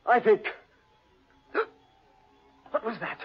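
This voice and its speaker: An actor playing a curious, sceptical gentleman explorer in a theatrical 1940s radio thriller style with old-fashioned exclamations. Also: extremely slow. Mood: rattled. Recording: muffled 1940s radio recording, music behind.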